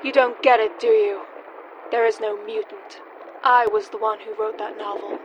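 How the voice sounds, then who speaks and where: A woman's voice: on the high side, steady tone, moderate pace, muffled recording, noisy background, lightly acted. A woman playing a mocking, teasing femme fatale in a comic noir radio play. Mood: angry, accusing. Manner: teasing, sardonic, theatrical.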